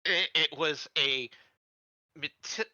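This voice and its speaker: A man playing a tabletop roleplaying game, casually improvising his character's backstory in halting, short phrases. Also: on the high side, bright, forceful tone, dry recording, lo-fi sound.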